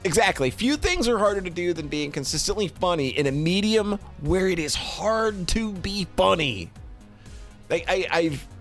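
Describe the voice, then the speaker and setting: A man streaming game reviews in a casual, rambling, expressive and effusive way, talking to a live chat and swearing casually. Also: sits high, normal speed, soft background music.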